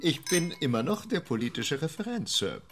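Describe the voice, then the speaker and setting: An actor in a radio drama playing a formal senior British political officer with pointed politeness. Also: male voice, on the high side, big pitch swings, moderate pace, a little hiss or hum. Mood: polite, wry.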